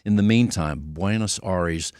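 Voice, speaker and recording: A male radio show host with a lowish voice, interviewing a guest in an easy, conversational way and steering him with questions. The sound is close and dry. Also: a little slow, a little mellow, clear, resonant tone, dry recording.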